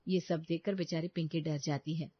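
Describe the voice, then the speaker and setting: A woman's voice: low-pitched, steady tone, moderate pace, slightly rough, no room sound, highs cut off. A woman reading scripted narration for a cartoon story.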